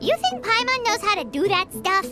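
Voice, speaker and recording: A woman voicing a tiny, cheeky, excitable fairy girl companion in a video game, with a squeaky, childlike voice. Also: normal speed. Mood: puzzled. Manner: perky, speaks of self in third person. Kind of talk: video game character acting. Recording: game music behind the voice.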